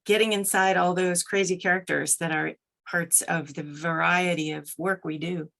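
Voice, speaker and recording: A woman's voice: on the low side, steady tone, moderate pace, creaky, a bit bright. A middle-aged woman who narrates audiobooks, answering interview questions conversationally as a podcast guest.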